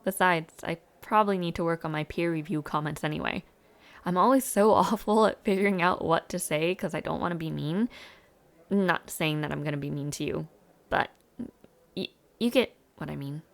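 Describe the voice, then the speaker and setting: Woman playing a creaky-voiced, friendly college girl. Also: lowish voice, moderate pace. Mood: friendly, helpful, then shy, curious. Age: young adult. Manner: casual, hesitant, giggly, trailing afterthoughts. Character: friendly, chatty young female university student and dormmate. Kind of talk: intimate audio roleplay, casual one-sided conversation.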